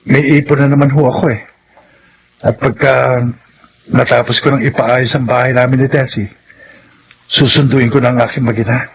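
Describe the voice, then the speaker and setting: A man's voice: mid-range voice, little pitch movement, moderate pace, long pauses, a little hiss or hum, lightly acted, poor audio. A man playing a humble, earnest ex-convict father rebuilding his life in a radio drama. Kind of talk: classic radio drama acting.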